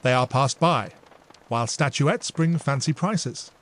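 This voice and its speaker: A man voicing a well-educated gentleman detective who speaks with polished, formal courtesy. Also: medium pitch, measured pace, close to an everyday voice. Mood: courteous.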